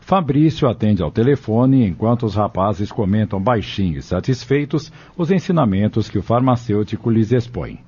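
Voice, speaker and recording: Male narrator, lowish pitch, mellow husky tone, scripted audio drama reading, muffled recording. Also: expressive, moderate pace, few pauses.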